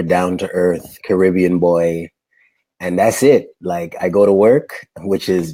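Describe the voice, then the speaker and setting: A man in his thirties from Canada, an easygoing guest chatting in measured phrases on a video call. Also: low voice, a little mellow. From Canada, born in Dominica. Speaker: interview guest, actor. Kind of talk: casual chat. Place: indoor room on a video call. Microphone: wired earphones on a video call.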